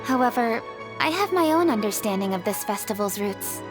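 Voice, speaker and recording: An actress playing a poised, dutiful young city official in a fantasy video game, speaking in an articulate, earnest way. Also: female voice, fairly high pitch, expressive, moderate pace, over music, natural voice. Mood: businesslike. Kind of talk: video game character acting.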